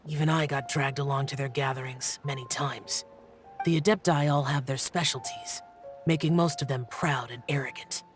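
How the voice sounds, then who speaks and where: An actor playing an aloof, solemn young immortal warrior in a video game, formal, brooding and halting. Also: male voice, mid-range voice, fairly even intonation, faint music behind, natural voice. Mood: pensive, reserved.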